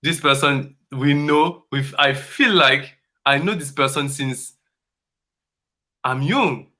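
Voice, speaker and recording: Forceful man, earnest coaching talk. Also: medium pitch. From Congo. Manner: earnest and emphatic, repeats phrases, halting non-native English. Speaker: dance coach.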